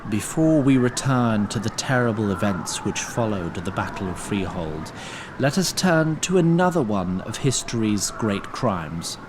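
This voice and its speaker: A male storyteller of a fantasy audio drama, ornate and wry. His pitch moves widely as he drifts between grand narration and comic digression. There is clear ambient noise behind him. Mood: grave, ornate.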